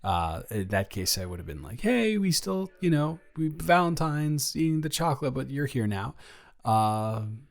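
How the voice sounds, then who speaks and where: A man's voice: medium pitch, big pitch swings, normal speed, gravelly. A young man playing a nervous, lovestruck best friend in an improvised romantic roleplay audio, rambling and stammering as he speaks to the listener.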